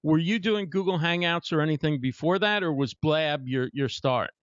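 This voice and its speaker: Man, host, fairly high voice, agreeable, dry close mic, slightly muffled. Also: no echo. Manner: affirming, quick to agree, ends points with "right?". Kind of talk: casual interview hosting. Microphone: studio microphone with headphones.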